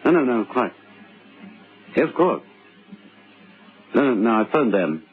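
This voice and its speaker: An actor playing a scheming husband of nearly forty in an old-time radio drama, speaking haltingly as he feigns innocent worry. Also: mid-range voice, very animated, slightly rough, soft background music, full character voice. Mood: feigned worry. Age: middle-aged. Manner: halting, stammering. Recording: lo-fi old radio recording, background noise.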